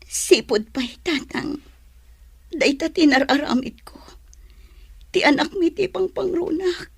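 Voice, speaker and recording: A woman's voice: high voice, slow pace, gravelly, dry recording, clean studio sound, exaggerated voice. A woman playing a long-suffering, betrayed mother in an emotional, plaintive radio drama style. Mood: tearful, anguished. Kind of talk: Philippine radio drama acting.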